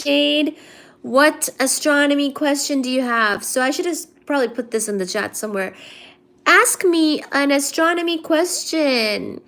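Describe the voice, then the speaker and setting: A young woman from India hosts a casual livestream, her voice sitting slightly high. She reads viewer comments aloud and teases the chat in an easygoing way. Her energy is low today. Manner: chatty, teasing, reads chat aloud and answers it. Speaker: livestream host.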